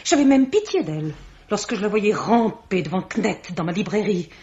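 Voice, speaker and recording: A woman's voice: mid-range voice, expressive, moderate pace, darkish tone, lightly acted. An actress playing a distraught, bitter woman on the defensive in a vintage French radio mystery, speaking emphatically. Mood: shocked, defensive. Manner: melodramatic, emphatic. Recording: dull vintage radio sound.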